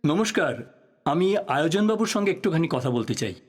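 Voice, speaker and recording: An earnest investigative journalist speaks in a slightly high, very varied voice. He stays polite and persistent, as in an audio play. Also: expressive, fairly quick. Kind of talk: audio drama acting.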